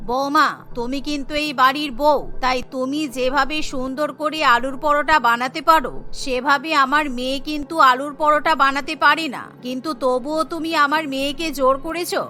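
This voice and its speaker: Nagging cartoon mother-in-law, played by a woman: middle-aged, stern, bossy, bright and forceful. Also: sits high, steady tone, normal speed, few pauses, pitched below the performer's own voice. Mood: scolding. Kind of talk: animated cartoon story voice acting.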